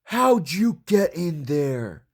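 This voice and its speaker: A man's voice: fairly high pitch, very animated, extremely slow, voice pushed up, exaggerated voice. A man voicing a big, tough storybook dog who barks bossy, indignant orders with emphatic delivery. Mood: startled, indignant.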